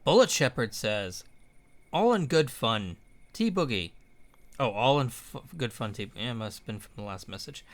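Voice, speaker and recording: A man's voice: medium pitch, big pitch swings, normal speed. A man co-hosting a live stream, reading out viewer questions in a laid-back way. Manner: laid-back, reads out chat messages. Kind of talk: casual live-stream chat.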